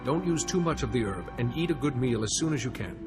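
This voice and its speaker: An actor playing a calm, diplomatic male Jedi sage in a video game, speaking in a measured, formal way. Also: mid-range voice, in a large hall, over loud music, close to an everyday voice. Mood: calm, advising.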